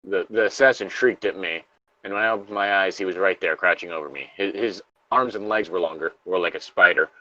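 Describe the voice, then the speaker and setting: Low-voiced man, dull lo-fi sound. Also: brisk, pushed and bright. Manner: informal, profane. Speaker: co-host reading internet horror stories. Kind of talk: casual banter and story reading.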